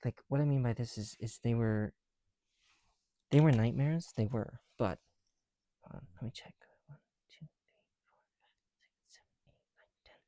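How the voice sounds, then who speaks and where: A soft-spoken man chatting casually in an ASMR style, often dropping into whispers, with a creaky voice and a rambling, halting delivery, close to the mic. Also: medium pitch, no echo. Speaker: ASMR video creator. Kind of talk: casual ASMR chat while building bricks.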